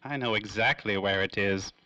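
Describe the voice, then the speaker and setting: A man's voice: fairly low pitch, sharp tone, dry recording, muffled recording. A man playing a suave, cagey, self-important tycoon in a 1930s-style radio drama, drawing out his words theatrically. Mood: smug, evasive. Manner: theatrical, drawn-out, evasive phrasing. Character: suave, cagey, self-important industrial magnate in a noir serial.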